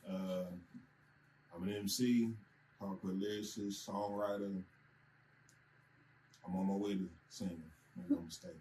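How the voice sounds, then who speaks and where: Confident low-voiced rapper man. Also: unhurried, pauses often, echoey room, a little hiss or hum, rough recording. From Michigan. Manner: confident, lively storytelling. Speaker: rapper guest. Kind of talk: casual storytelling.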